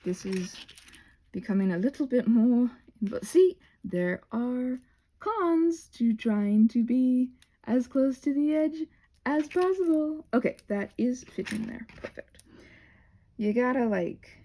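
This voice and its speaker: A woman's voice: on the high side, animated, slow, warm tone. A woman hosting a casual craft tutorial in a scattered, rambling way, trailing off mid-sentence and talking to herself with a playful streak.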